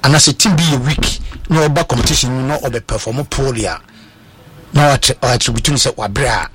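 A man's voice: mid-range voice, a little fast, fairly bright tone, slightly rough. A man with a Ghanaian accent giving football analysis on a sports talk show, hesitant, with many fillers and restarts, switching into Twi. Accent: Ghanaian English.

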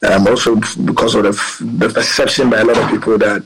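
A man's voice: lowish voice, level tone, quick pace, husky, poor audio. A man, a politician answering interview questions in an earnest, rambling way with many fillers. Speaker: politician guest.

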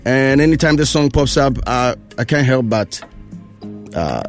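A man's voice: medium pitch, expressive, measured pace, soft background music. A man hosting a studio interview, asking playful, curious questions. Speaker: interview host.

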